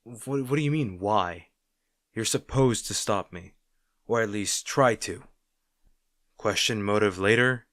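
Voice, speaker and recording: A man playing a snarky supervillain rival who secretly cares, in a dramatic roleplay monologue, teasing and sardonic, halting when flustered. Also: on the low side, fairly bright tone, no echo, studio quality. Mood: taunting. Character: snarky male supervillain rival, secretly worried about his hero.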